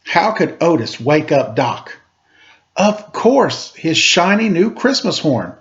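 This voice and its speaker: A man reading a children's story aloud in an expressive, dramatic storytelling manner. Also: a little high, slow pace, dull audio. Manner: dramatic read-aloud, acting out sound effects and dialogue.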